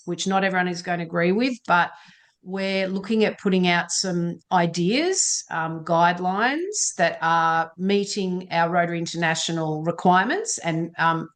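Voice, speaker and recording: Woman, meeting moderator; relaxed, reassuring, filler-heavy delivery. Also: lowish voice. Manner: chatty, frequent ums, reassuring. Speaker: session moderator. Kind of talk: online meeting Q&A.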